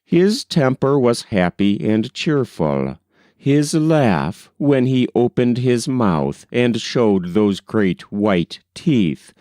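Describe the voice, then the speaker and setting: A man reading a classic novel aloud as an audiobook narrator, in a measured, unhurried storytelling manner. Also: medium pitch, dark tone, no echo, studio quality.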